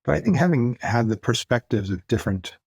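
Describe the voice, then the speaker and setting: A man's voice: mid-range voice, lively intonation, fairly quick, gravelly, darkish tone, slightly rough, no room sound, clean studio sound. An older man from the Far East, first language Mandarin, talking reflectively as a guest author in a podcast interview, searching for words with light laughs.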